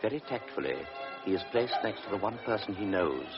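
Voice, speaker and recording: A man narrating a literary radio drama in a deliberate, solemn manner. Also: fairly low pitch, animated, vocal fry. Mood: measured. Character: measured storyteller of a pre-war tale. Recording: dull vintage radio recording with music.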